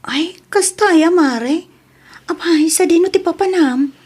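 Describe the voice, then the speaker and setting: A woman's voice: extremely high pitch, slow pace, a little mellow. A woman playing an anxious, nagging housemaid who frets over her co-worker in a comedy radio drama. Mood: suspicious, surprised.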